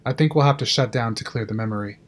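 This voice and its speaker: A man's voice: medium pitch, quick pace, natural voice. A man playing a cautious, worried control-room technician in an audio drama, matter-of-fact in manner.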